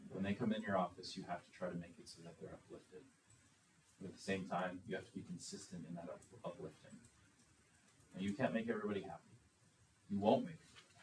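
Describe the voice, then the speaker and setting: A man answering interview questions for a school superintendent job, speaking in a confident, measured way. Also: low voice. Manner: confident, measured, self-assured. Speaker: superintendent candidate. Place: meeting room. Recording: echoey room, distant mic, faint noise.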